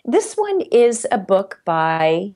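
A woman chatting casually as a podcast guest, haltingly, often repeating words as she thinks. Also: mid-range voice, wildly swooping pitch, darkish tone. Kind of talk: casual conversation.

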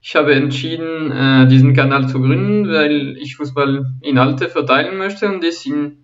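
A young man speaks in a fairly high voice, stumbling and repeating words as he works through a prepared introduction. He sits a little back from a dull, lo-fi microphone. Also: recorded from a distance. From Switzerland. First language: French. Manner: halting, many repeated words and restarts. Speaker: football channel host. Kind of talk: scripted channel introduction.

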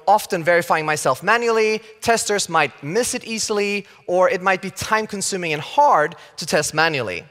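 A Swedish man in his thirties gives a conference talk with a high, bouncy voice that climbs and dips a lot. He plays out other people's opinions with some fun. His voice carries a long reverb from the big hall. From Sweden. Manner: lively and playful, acts out quoted opinions. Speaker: conference speaker. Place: large conference stage.

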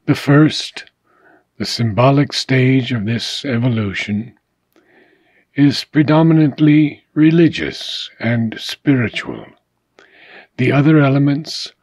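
A man reading a philosophical book aloud in a measured, deliberate way, pausing between short phrases, with a husky voice. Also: mid-range voice, a little mellow, dry recording. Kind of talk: slow, deliberate audiobook reading.

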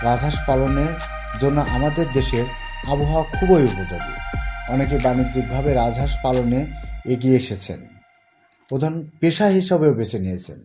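A man's voice: mid-range voice, animated, normal speed. Young man narrating over music. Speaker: interviewer and narrator. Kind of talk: read narration and field interview. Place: outdoors at a goose farm. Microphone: handheld mic. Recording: outdoor voice with music bed.

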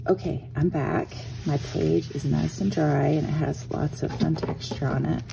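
A woman with a hoarse morning voice, chatting casually as she walks through a craft tutorial at her desk, often trailing off into soft murmurs. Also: deep voice, fairly even intonation, a little slow, background noise. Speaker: art journaling instructor. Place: home craft desk, indoors.

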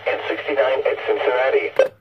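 Noisy, muffled American radio weatherman. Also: normal speed. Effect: radio speaker. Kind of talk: scripted weather broadcast.

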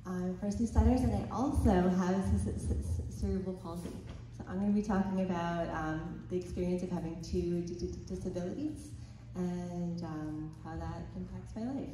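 A woman's voice: lowish voice, mellow, a little hiss or hum. Woman who stutters, on a panel. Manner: stutters with repeated sounds, nervous but eager. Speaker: panelist, speech therapist. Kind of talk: panel talk. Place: hotel conference room with audience. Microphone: handheld mic, recorded from far in the room. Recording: echoey conference hall from far off.

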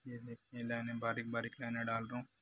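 Monotone Pakistani craftsman, bright forceful voice. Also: mid-range voice, fast. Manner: repetitive, step-by-step narration. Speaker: glass engraver, channel host. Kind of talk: live craft demonstration commentary. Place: glass cutting workshop. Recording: noisy workshop background hum.

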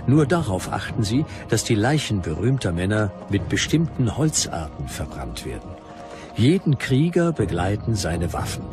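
An actor playing a grave Roman historian, declaiming an ancient account deliberately in a documentary drama. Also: male voice, on the low side, sing-song, music in the background. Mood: grave, declamatory. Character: Roman historian Tacitus, grave observer of foreign tribes. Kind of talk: dramatised reading of ancient text.

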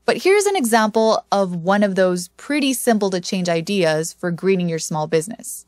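A woman's voice: medium pitch, expressive, moderate pace, darkish tone, dry recording. Woman hosting a podcast, very dry sound. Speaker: podcast host. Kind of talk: scripted podcast narration and interviewing.

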